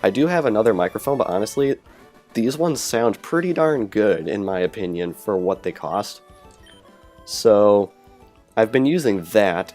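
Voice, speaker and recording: A man with a fairly low voice gives loose, rambling commentary over a video game, often trailing off and rephrasing himself. He records at home on a cheap earbud headset mic. Game music plays faintly underneath. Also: a little mellow. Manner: rambling, self-correcting, chatty. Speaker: let's play commentator. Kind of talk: casual gameplay commentary. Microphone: cheap earbud headset microphone.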